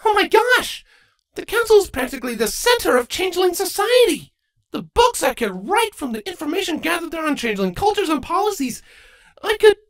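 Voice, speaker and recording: A woman voicing a bookish, excitable young female changeling princess in a storybook reading, earnest and easily flustered. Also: very high voice, fairly quick, pitched above the performer's own voice. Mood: excited.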